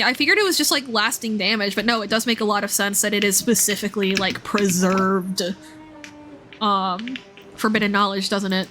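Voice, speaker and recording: A woman doing casual livestream commentary on a game, thinking aloud in an excitable, exclamatory way with half-finished thoughts. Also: mid-range voice. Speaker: livestream host playing a game and discussing its lore. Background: game music under the voice.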